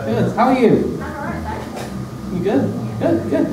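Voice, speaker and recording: A man's voice: very high voice, big pitch swings, slow pace, loud music behind the voice. Stuttering young man doing stand-up. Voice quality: stutters, repeating words. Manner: nervous, self-mocking, laughing at own jokes. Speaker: stand-up comedian. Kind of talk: stand-up comedy set. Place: small dark club stage. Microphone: handheld mic, filmed from far in the room. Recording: echoey club room taped from far off, loud room noise.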